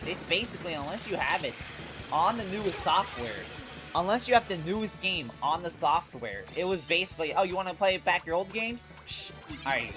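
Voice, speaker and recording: A congested livestream host chatting casually about games, slangy and sweary, often repeating and restarting phrases. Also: mid-range voice. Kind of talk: casual gaming livestream chat. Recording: music behind the voice. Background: music.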